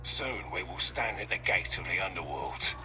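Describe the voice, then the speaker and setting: An actor playing a ruthless, scheming mercenary in a video game cutscene, with a clipped, commanding, menacing delivery. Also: male voice, deep voice, animated, moderate pace. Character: ruthless male scheming mercenary trader, British.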